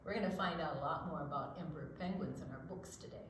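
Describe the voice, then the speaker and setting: An older woman co-hosting a children's storytime, explaining and reading in a gentle, deliberate, expressive way. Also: low-pitched, very echoey, slight background noise. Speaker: children's storytime co-host and reader.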